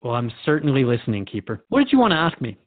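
A man's voice: mid-range voice, huge pitch swings, rapid-fire, no room sound. A man playing an earnest, respectful radio host in a drama, questioning in a conversational, hesitant and probing way. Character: earnest, questioning male radio interviewer, respectful but troubled. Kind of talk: radio drama interview acting. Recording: dull lo-fi, dry audio.

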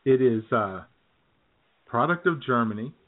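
Man, radio co-host, casual jokey banter, halting repeats, medium pitch, narrow tinny phone sound. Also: lively intonation. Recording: muffled phone-like internet radio audio.

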